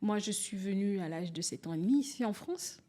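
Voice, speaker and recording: Animated woman in her forties from Angola. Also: medium pitch, fairly quick. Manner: animated, storytelling, restarts and acts out quotes. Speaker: podcast guest. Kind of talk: candid conversational interview.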